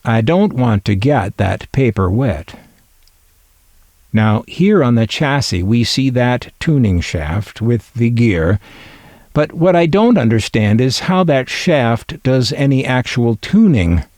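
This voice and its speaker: Slow, wry man with lively intonation. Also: mid-range voice, dry recording. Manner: wry, musing aloud with dry humor. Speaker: collector presenting vintage radio finds. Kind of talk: scripted narration of a hobby video.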